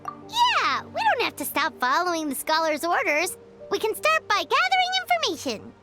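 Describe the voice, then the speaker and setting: A woman's voice: very high voice, wildly swooping pitch, normal speed, music in the background, full character voice. A woman voicing a tiny, perky, cheeky fairy companion with a childlike manner in a video game. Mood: encouraging. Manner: perky, refers to herself in third person.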